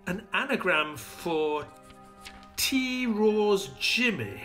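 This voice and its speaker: A man's voice: high voice, lively intonation, drawn-out words, sharp tone, soft background music, exaggerated voice. An actor playing a detective's loyal male sidekick in an audio drama, earnest, inquisitive and sceptical. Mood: questioning.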